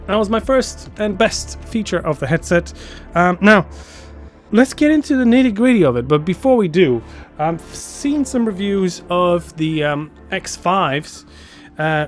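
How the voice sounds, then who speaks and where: A man giving a casual, unscripted gear review to camera, enthusiastic but halting, often repeating words and phrases. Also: fairly high pitch. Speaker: product reviewer.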